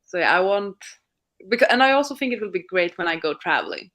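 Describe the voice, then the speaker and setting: A woman's voice: mid-range voice, lively intonation, fast talker, fairly bright tone. A woman co-hosting a live reading stream, chatting in a friendly way and asking the others questions. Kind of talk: casual chat.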